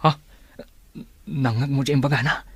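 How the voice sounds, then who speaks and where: A man's voice: mid-range voice, fairly even intonation, a little slow, lightly acted. A man playing an eager, nervy burglar sidekick in an audio drama, questioning and deferential. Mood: eager, uneasy.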